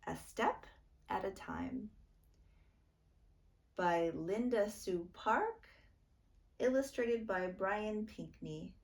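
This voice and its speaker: Slow, gravelly-edged woman reading a storybook. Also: medium pitch, expressive. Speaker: storytime reader. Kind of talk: children's book read-aloud narration.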